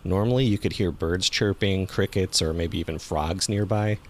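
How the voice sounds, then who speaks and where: A man's voice: deep voice, normal speed. A man hosting and narrating a podcast, reading from a script.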